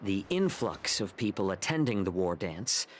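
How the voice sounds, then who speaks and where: An actor playing a polite, sly military healer in a video game, eloquent and gently sardonic. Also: male voice, sits low, sing-song, moderate pace, a little hiss or hum. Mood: grave. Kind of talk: scripted game voice acting. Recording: game music behind.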